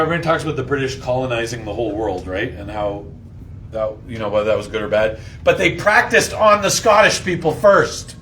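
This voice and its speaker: A man leading an informal Bible lesson, putting questions to his listeners in a slangy, jokey way and acting out lines loudly. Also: mid-range voice. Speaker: Bible study host and teacher. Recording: voice over clear background noise.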